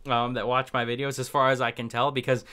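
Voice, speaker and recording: A man's voice: medium pitch, flat delivery, fast, sharp tone, smooth. A young man riffing unscripted on camera in a small room at his desk, rambling with fillers and restarts. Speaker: political commentary vlogger. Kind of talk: unscripted casual commentary.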